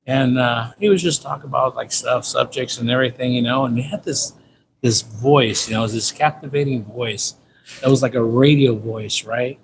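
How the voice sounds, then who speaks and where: A man's voice: medium pitch, moderate pace. A man in his fifties with a Californian American accent, chatting casually on a livestream in a loose, slangy, repetitive way. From San Diego, California, United States. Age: 50s. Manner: repeats himself, loose slangy storytelling. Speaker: livestream host. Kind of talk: casual rambling livestream chat. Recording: clearly noisy ambient livestream audio.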